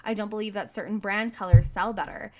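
A woman hosting a motivational coaching podcast on her own. She speaks emphatically in a medium-pitched voice, with an outgoing manner and informal, slangy wording. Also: steady tone, a little fast, dark tone. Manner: outgoing, self-described big voice. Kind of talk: motivational solo podcast talk.

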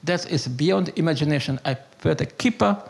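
An older man from Hungary with a Hungarian accent, a native Hungarian speaker, telling his story in halting, broken English with emphatic repetition. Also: fairly high pitch, gravelly. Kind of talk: unscripted conversational storytelling and philosophizing.

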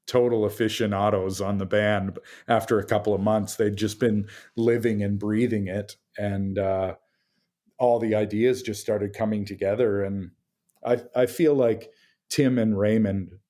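A man with a deep voice, a game designer talking casually in an interview, relaxed and full of fillers. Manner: relaxed, chatty, many fillers and restarts.

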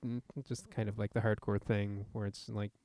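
A man's voice: fairly low pitch, little pitch movement, moderate pace, gravelly, mellow, no room sound. A man co-hosting a podcast in casual chat, with a wry, joking manner. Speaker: podcast co-host.

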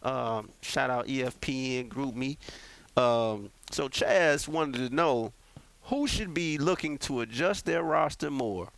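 A man's voice: mid-range voice, takes it slowly, gravelly, fairly bright tone, no room sound, poor audio. A young man hosting a casual sports podcast, talking loosely in slang and repeating his words. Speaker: podcast host.

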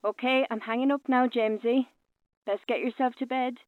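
A woman's voice: a little high, steady tone, brisk, dry recording. An actress playing a sleepy, polite but firm woman, heard through a telephone filter in a radio drama. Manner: polite, restrained, brushing off. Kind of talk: naturalistic radio drama acting. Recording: narrow, dry phone-call sound.

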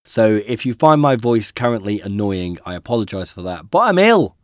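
A man co-hosting a podcast, hoarse from a cold, chatting in a dry, wry, self-mocking way. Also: fairly low pitch, sing-song, moderate pace, no room sound. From United Kingdom. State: hoarse from a cold, voice deeper than usual. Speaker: podcast co-host. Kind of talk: casual chat.

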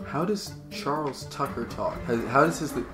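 A man's voice: mid-range voice, moderate pace, echoey room, music in the background. A man co-hosting a podcast with casual, joking banter, often interjecting and hamming it up. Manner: playful, interjecting, hams it up. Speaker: podcast co-host.

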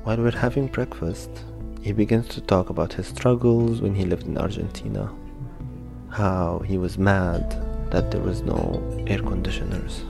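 A young man from Egypt narrating a personal story in a quiet, reflective, plain way. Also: low-pitched, fairly even intonation, moderate pace, vocal fry, over music.

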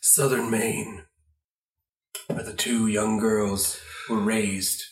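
A man narrating an improvised radio play in a rambling, halting way, often trailing off. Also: lowish voice, steady tone, far from the mic. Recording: echoey room, off the mic.

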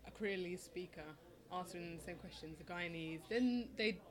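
A young woman from England with an English accent, answering interview questions in a relaxed, hesitant way with many ums and restarts. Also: fairly low pitch, moderate pace. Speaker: interview guest, oral history project maker. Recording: crowd noise in background.

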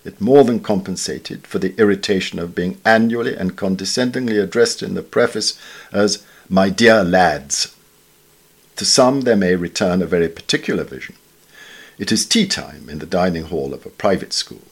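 Man, British accent, low-pitched voice, formal literary reading, measured delivery, dry understatement. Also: vocal fry, slightly rough. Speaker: reader of newspaper essays. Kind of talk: scripted literary essay reading.

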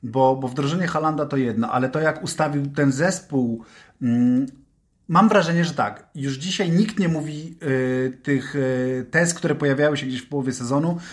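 A man's voice: medium pitch, normal speed. A man giving unscripted football analysis on a panel, emphatic, repeating words and hesitating as he builds his point. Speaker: football pundit on a panel show. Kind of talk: unscripted sports discussion.

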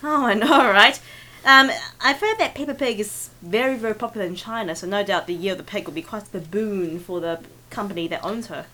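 A woman with a New Zealand accent co-hosting a podcast, playful and teasing with deadpan jokes. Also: mid-range voice, a little fast. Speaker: podcast co-host. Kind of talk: casual podcast banter.

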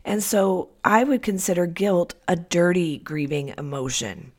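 A woman's voice: fairly low pitch, measured pace. A woman hosting a solo podcast in a casual, personal, confiding way, her voice congested from a cold. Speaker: podcast host.

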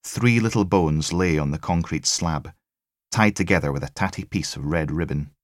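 A man's voice: deep voice, moderate pace, no room sound, clean studio sound. A man reading a crime thriller as scripted audiobook narration.

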